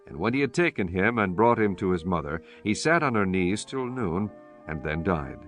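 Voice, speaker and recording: A man's voice: deep voice, very animated, normal speed, a bit bright, faint music behind. A man reading solemn, scripted scripture narration for a dramatized audiobook.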